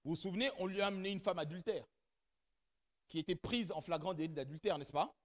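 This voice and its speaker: A middle-aged man preaching a church sermon whose first language is not French, speaking animatedly and rising to loud emphatic peaks as he addresses the congregation. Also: sits high, quick pace. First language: not French; has another mother tongue. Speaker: pastor.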